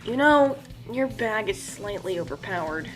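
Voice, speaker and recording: A woman's voice: mid-range voice, lively intonation, measured pace, a bit bright, faint music behind. A woman voicing a grumpy, sarcastic and exasperated male archer sidekick in a comic story. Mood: matter-of-fact.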